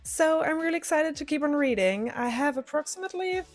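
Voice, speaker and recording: A woman's voice: sits high, lively intonation, a little fast, faint music behind. Enthusiastic woman chatting casually about her thoughts. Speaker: book vlogger. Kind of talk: casual chat to camera.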